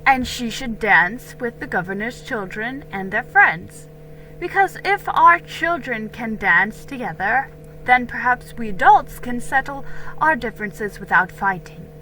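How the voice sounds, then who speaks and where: Woman reading as a kindly, unhurried storybook father. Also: on the high side, expressive, faint music behind, low-quality recording. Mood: reassuring. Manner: gentle, deliberate, reassuring. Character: kindly, measured colonial father.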